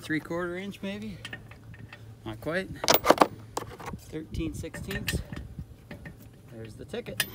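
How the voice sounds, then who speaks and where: A man's voice: high voice, big pitch swings, slow, pauses often. A man in his thirties, plain-spoken and easygoing, narrating a casual vlog and talking about faith while working outdoors and driving a pickup. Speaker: vlogger and father. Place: outdoors in a field and inside a moving pickup cab. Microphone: camera on the dash and handheld camera. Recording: distant mic with loud engine and wind noise.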